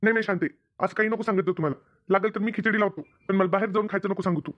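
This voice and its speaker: A woman as a fast-talking exasperated cartoon husband. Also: mid-range voice, highs cut off, natural voice. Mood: irritated refusal. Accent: rural Maharashtrian Marathi. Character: exasperated, nagging village husband in a comedy cartoon. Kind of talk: comic cartoon dialogue.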